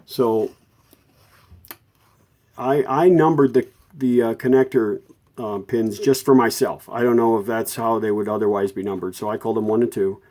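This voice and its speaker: Mellow-voiced man giving a halting hands-on tutorial. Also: fairly low pitch. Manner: halting, self-correcting, informal. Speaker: host of a vintage radio repair tutorial. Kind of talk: unscripted hands-on technical explanation.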